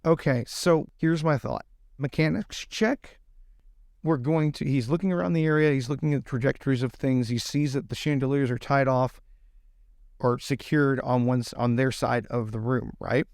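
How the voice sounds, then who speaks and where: A man's voice: medium pitch, normal speed, vocal fry, a little mellow, no room sound. Man in casual tabletop game chat, dry sound. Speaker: player in a tabletop roleplaying game. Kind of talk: casual improvised game talk.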